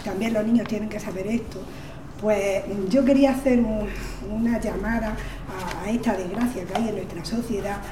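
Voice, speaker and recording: A woman from Spain reciting poems at a community reading, hesitant in her introductions and slow and declaiming in the verses. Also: mid-range voice, reverberant, recorded from a distance, noisy background. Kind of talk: poetry recitation with spoken introductions.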